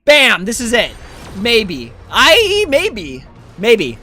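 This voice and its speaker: A game streamer chatting casually through live play. The pitch is medium but moves around a lot, and the delivery is informal and self-questioning. Light game music plays behind the voice. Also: wildly swooping pitch, very slow, sharp tone. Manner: informal, self-questioning, often ends with "right?". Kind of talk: casual live gaming commentary.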